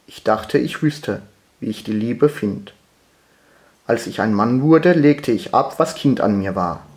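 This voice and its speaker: A man reciting poetry solemnly, in measured, deliberate phrases with pauses between them. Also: mid-range voice.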